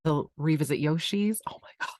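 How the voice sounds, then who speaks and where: A woman with a notably low voice chats with a friend on a podcast, jumping between stories with excitement and frequent restarts. Her voice is recorded dry and close, with no room sound. She is middle-aged and talks in a loose, animated way. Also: normal speed, no echo. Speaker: podcast co-host. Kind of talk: casual chat.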